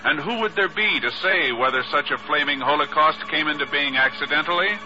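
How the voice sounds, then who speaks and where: A man's voice: on the high side, fairly quick, background noise. A man narrating a 1950s radio drama as announcer, solemn and portentous. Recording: muffled old radio recording, music behind. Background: dramatic music.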